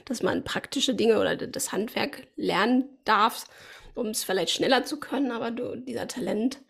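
Husky, gravelly-voiced woman host. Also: on the high side, normal speed. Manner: encouraging, frequent laughs and short affirmations. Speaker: podcast host. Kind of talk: casual interview chat.

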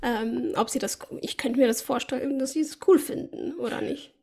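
A woman's voice: sits high, fairly even intonation, moderate pace, mellow. A woman hosting a podcast in casual interview chat, encouraging her guest and affirming him often. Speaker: podcast host.

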